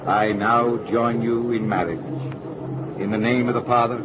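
An actor playing a solemn priest with a measured, ceremonial delivery in a vintage radio drama. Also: male voice, medium pitch, fairly even intonation, natural voice. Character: solemn priest officiating a wartime wedding. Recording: muffled, very noisy old radio drama.

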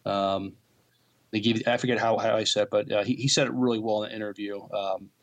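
Halting low-voiced man on a podcast. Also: fairly even intonation, highs cut off. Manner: halting, hesitant, stumbling over words. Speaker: podcast co-host. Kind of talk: casual podcast talk and reading out news.

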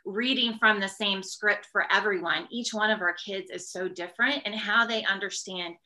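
A woman talks as a guest educator in an interview. Her voice is bright and forward at a medium pitch. She explains in a conversational way, often checking in with the listener. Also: normal speed, low-quality recording.

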